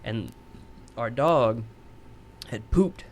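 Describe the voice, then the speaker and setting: A man's voice: mid-range voice, extremely slow, a little mellow, slight background noise. Rambling, profane man telling a story. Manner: rambling, profane, self-mocking. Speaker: podcast co-host telling a story. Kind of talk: casual storytelling.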